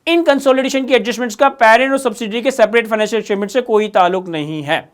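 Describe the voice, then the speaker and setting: A man's voice: very high voice, quick pace. A man with a Pakistani accent giving an instructional lecture, explaining step by step with emphatic repetition. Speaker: accounting lecturer.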